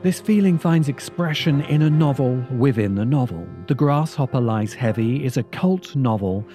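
A man reads a scripted video essay in a mellow, mid-range voice. He is measured and leans on key words with deliberate pauses. Faint music plays behind him. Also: mid-range voice, lively intonation. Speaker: host and narrator.

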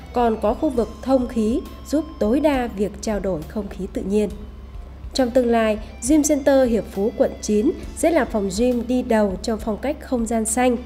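High, mellow female promo narrator. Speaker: voice-over narrator for a gym promotional video. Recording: voice over background music. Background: music.

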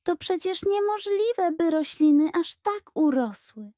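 A woman's voice: very high-pitched, lively intonation, a little slow, warm tone, no room sound, studio quality, voice pushed up. A woman voicing a timid, puzzled male beaver in a storybook, with a questioning manner. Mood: shy wonder. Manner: shy, questioning.

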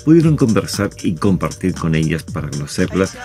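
A Chilean man narrates a scripted news report in a low voice. Soft music plays behind his reading. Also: very animated, fairly quick. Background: background music.

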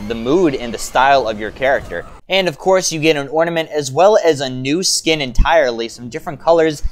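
A man hosting a gaming video, giving enthusiastic, informal and hyped commentary as he goes through a game overview. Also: medium pitch.

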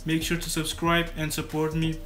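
Teenage male vlogger from Suriname, native Dutch speaker; monotone delivery, casual tutorial read. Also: fairly high pitch, normal speed. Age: teens. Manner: casual, repeats and restarts words. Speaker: YouTube creator. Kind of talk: scripted tutorial vlog to camera. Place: small bedroom studio indoors.

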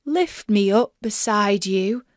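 Woman as an insistent, slow-calling little frog. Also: mid-range voice, animated, no echo, studio quality. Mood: demanding. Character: insistent little male frog, pleading and demanding.

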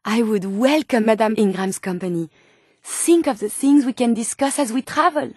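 High-pitched French robot scientist, earnest. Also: female voice, expressive, normal speed, darkish tone, breathy edge, no echo, studio quality. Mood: calm, earnest. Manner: polite, formal, precise phrasing. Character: earnest, gentle, curious French female robot scientist companion. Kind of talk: video game character dialogue.

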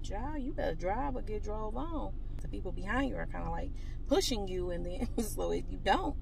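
A middle-aged woman, chatting casually to viewers in a folksy, playful, expressive way. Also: medium pitch, moderate pace, vocal fry, noisy background. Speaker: lifestyle vlogger.

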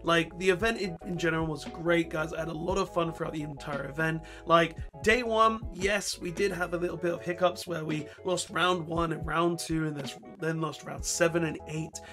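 A young man in his twenties, hoarse with his voice mostly gone, chatting casually to camera from his bedroom. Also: a little high, a bit bright. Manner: chatty, laughing, talks straight to camera. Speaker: vlogger. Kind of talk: casual vlog chat. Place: bedroom at home. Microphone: webcam or desk camera, facing camera.

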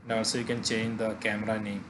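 A man narrating a software tutorial haltingly, pausing often mid-phrase. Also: lowish voice, level tone, noisy background. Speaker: tutorial presenter.

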